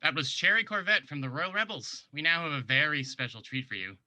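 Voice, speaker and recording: A man's voice: fairly high pitch, lively intonation, brisk, bright, forceful tone. A man playing a corny, awkward robot-themed burlesque emcee in a table read, with a stagey announcer's delivery. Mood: showy announcing.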